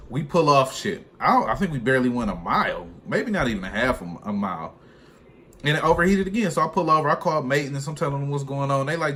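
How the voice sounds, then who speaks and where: Casual slangy man telling stories, noisy recording. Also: mid-range voice. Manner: slangy, conversational, animated retelling. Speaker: truck driver and vlogger. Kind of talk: casual vlog storytelling. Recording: clearly noisy room ambience.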